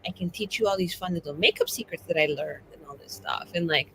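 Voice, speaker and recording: A woman's voice: lowish voice, fast talker, vocal fry, fairly bright tone, a little hiss or hum, poor audio. A woman playing a tabletop game, chatting casually and playfully with lots of informal filler words. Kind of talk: casual, animated game chat.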